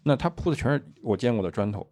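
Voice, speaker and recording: A man's voice: mid-range voice, lively intonation, fast, a little mellow. A man in a casual podcast discussion, explaining things with analogies and often repeating himself.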